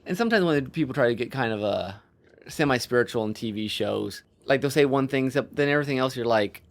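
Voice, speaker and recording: A man speaks as a podcast co-host in an informal discussion. His delivery is halting and exploratory, as he works out his thoughts aloud and often restarts mid-sentence. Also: mid-range voice, lively intonation, vocal fry. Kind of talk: casual discussion of storytelling.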